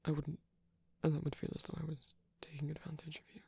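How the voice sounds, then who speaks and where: A performer voicing a soft-spoken villain in an intimate romantic ASMR roleplay, gentle, protective and hesitant, with a breathy, husky, often whispered delivery. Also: medium pitch, level tone, quick pace. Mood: tender, reassuring. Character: soft-spoken villain, tender and protective toward abandoned hero.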